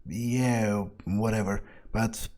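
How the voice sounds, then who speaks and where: Male gameplay role-player: lowish, soft-edged dark tone, slow delivery, hesitant thinking-aloud phrasing. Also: steady tone. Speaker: gameplay host role-playing his character. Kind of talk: in-character game playthrough commentary.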